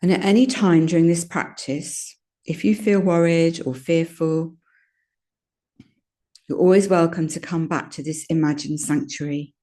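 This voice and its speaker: A woman leading a guided meditation in a soothing, gentle manner, giving unhurried instructions with pauses. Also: low voice, fairly even intonation. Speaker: yoga nidra meditation guide.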